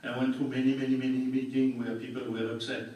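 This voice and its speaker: A man's voice: medium pitch, level tone, fast talker, a little mellow. An older man with a French accent, a public health doctor presenting at a community meeting with dry jokes and plain explanations. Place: large public meeting hall. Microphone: room recording from far off. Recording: echoey hall taped from far off.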